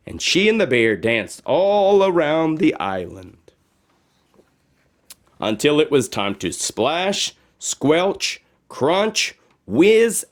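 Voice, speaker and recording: A man reading a children's story aloud in a dramatic, expressive narration style. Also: mid-range voice. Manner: dramatic, expressive with sound words. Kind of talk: animated read-aloud narration.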